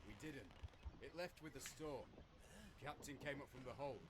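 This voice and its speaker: An actor playing a weary sailor in a video game, giving a low, matter-of-fact report to an injured crewmate. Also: male voice, moderate pace, natural voice. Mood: grim. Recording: faint game audio under loud noise.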